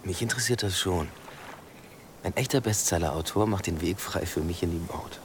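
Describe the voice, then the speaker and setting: A man's voice: low voice, moderate pace, noisy background, natural voice. An actor playing a laconic male police detective, understated and natural, in a radio drama. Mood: inquiring. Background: prominent music.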